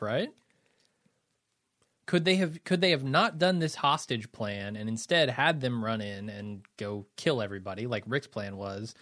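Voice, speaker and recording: A man's voice: mid-range voice, a bit bright, no room sound, clean studio sound. A man co-hosting a casual podcast, easygoing and thinking aloud. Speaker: podcast co-host.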